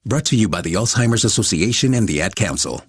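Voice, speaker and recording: A man's voice: on the low side, expressive, fast. A man narrating a scripted radio public service message.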